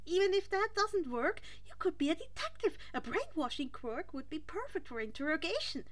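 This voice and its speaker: A bubbly, awkward teenage boy from an audiobook story, acted out by a woman. The voice sits very high and spills out in eager, earnest rambles with small stammers. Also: big pitch swings, moderate pace. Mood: excited. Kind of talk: expressive audiobook character reading.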